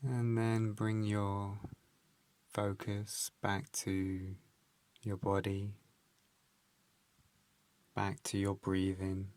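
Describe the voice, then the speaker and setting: A man leading a guided meditation, giving calm instructions in a gentle, unhurried manner with measured phrases and pauses. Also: lowish voice, no room sound.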